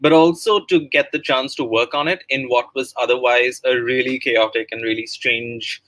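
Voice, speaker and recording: A middle-aged man with an Indian accent speaks in a bright, forward voice. The sound is dry and close, and he talks as a writer presenting his work, often halting between phrases. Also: mid-range voice, steady tone, no room sound, rough recording. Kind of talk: pre-recorded talk about own project.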